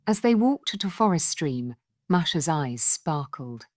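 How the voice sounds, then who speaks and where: A woman reading a scripted children's story in an expressive, gentle storybook manner. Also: low voice, slow, no echo, studio quality.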